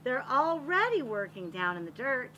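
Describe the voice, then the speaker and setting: A woman reads a picture book aloud for young children. Her voice is high and bright, with lots of melodic swing and an expressive, explanatory manner. There is faint noise in the background. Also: normal speed, highs cut off. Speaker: read-aloud reader for children.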